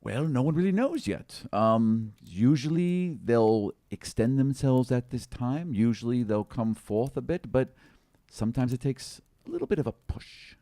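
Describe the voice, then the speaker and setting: Man putting on a high-pitched fussy halfling. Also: animated, measured pace, warm tone, no room sound. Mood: puzzled, explaining. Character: fussy, puzzled male halfling guide.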